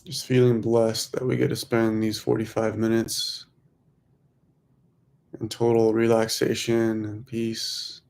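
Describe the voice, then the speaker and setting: Man, meditation guide, very flat monotone, slightly low pitch, soothing, short phrases with long pauses. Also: creaky. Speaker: meditation guide, host of a weekly group.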